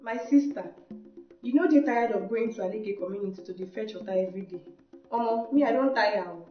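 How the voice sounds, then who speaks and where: A woman's voice: fairly high pitch, brisk, close to an everyday voice. A woman with a Nigerian accent playing a young village woman in a Pidgin radio drama. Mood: weary. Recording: muffled, roomy, music behind.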